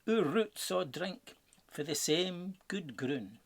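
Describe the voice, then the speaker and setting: A man with a Scottish accent narrating a rhyming storybook in Scots with a theatrical, expressive delivery. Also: high-pitched, slow. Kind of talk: dramatic rhyming verse storytelling.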